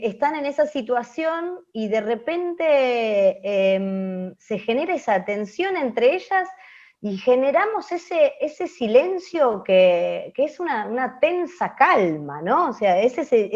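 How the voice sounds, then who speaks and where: A woman teacher commenting informally and reflectively, repeating phrases as she searches for words. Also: sits high. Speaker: radio workshop teacher. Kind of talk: informal open-class commentary. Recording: dull muffled audio.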